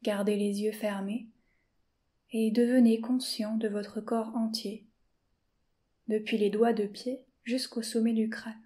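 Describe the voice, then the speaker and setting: A woman teacher guiding a yoga nidra session in a slow, soft-spoken, calm way, often dropping to a whisper. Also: fairly high pitch. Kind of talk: guided meditation.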